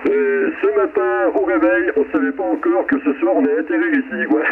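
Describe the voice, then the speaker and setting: A man chatting casually in a halting way, heard through a radio transmission. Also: fairly high pitch, gravelly. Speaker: mobile CB radio operator. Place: transmitting from a car. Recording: tinny, noisy CB radio reception.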